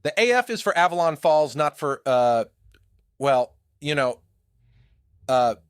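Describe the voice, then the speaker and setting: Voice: medium pitch, very animated, a little slow, clean tone, no room sound, clean studio sound. An actor playing a quirky, self-assured young conspiracy-minded fan in an audio drama, with a theatrical manner. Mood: quirky, smug.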